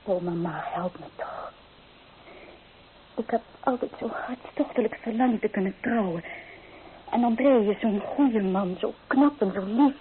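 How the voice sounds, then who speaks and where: An actress playing a restless, romantic young bride-to-be in a Dutch radio drama, speaking with emotional, poetic intensity. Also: female voice, mid-range voice, measured pace, phone-quality sound, natural voice. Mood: anguished, wistful. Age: young adult. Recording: thin, band-limited old radio recording, faint noise.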